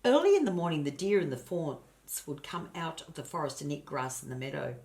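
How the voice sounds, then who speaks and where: Low-voiced older woman reading a storybook. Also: lively intonation, brisk, darkish tone. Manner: grandmotherly, addresses listeners and points out pictures. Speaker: storybook reader. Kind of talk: expressive children's storybook narration.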